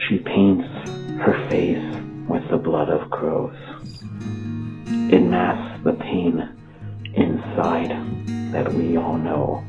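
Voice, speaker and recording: Low voiced guy doing slow gothic poetry, broody, roomy echo, guitar music pretty loud behind him. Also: little pitch movement. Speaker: author performing own lyric piece. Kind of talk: dramatic spoken-word recitation over music. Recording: echoey, muffled, under loud guitar music. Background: plucked guitar music.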